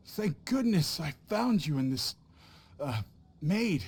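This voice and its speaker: A man's voice: fairly high pitch, animated, slow pace, darkish tone, husky, exaggerated voice. A man voicing a nervous traveling merchant who stammers and fumbles his words, overacting his panic. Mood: flustered. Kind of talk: video game voice acting.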